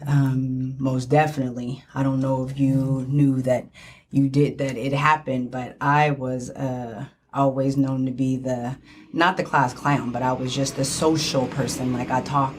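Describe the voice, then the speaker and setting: Middle-aged woman in halting, casual conversation. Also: rumbling low, level tone, a little hiss or hum. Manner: halting, repeats words for emphasis. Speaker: podcast co-host asking questions.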